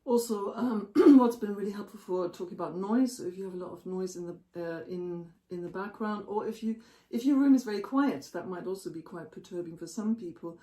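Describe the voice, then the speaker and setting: A woman giving sleep advice in a casual chat, her voice going hoarse, often clearing her throat. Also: medium pitch, fairly quick, few pauses, mellow, reverberant. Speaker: co-host.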